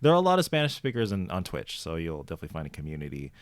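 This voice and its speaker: A man's voice: deep voice, wildly swooping pitch, very fast. A man hosting a podcast, talking in a casual, conversational interview style. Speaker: podcast host interviewing a guest.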